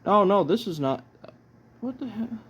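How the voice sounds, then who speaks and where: A man giving casual, low-key unboxing commentary as a collector, halting often as he thinks aloud. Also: animated, breathy edge, lo-fi sound. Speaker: record collector presenting his haul.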